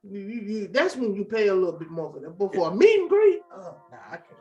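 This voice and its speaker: Laid-back high-voiced male co-host. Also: sing-song, darkish tone, highs cut off. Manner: laid-back, drawn-out sighs and repeats. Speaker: podcast co-host. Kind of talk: casual chat.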